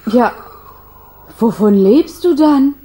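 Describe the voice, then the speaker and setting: A woman voicing a young, restless girl fire spirit in a German children's radio play, girlish, excitable and wide-eyed. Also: fairly high pitch, slow. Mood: wondering, enchanted.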